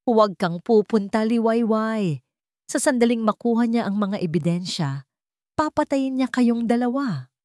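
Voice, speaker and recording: A woman's voice: on the high side, animated, measured pace, a little mellow, no echo, pitched above the performer's own voice. Worried friend, voiced by a female narrator: earnest, insistent, urgent, close dry sound. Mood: urgent warning. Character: urgent, worried companion of the heroine.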